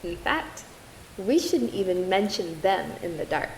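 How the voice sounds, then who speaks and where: Girl acting creepy sardonic young woman telling a spooky tale, dry and deliberate, radio play style. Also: fairly low pitch, big pitch swings, slight background noise, poor audio. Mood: ominous, measured. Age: young adult. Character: sardonic eerie young woman telling campfire horror tales. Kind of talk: student audio drama acting, spooky storytelling.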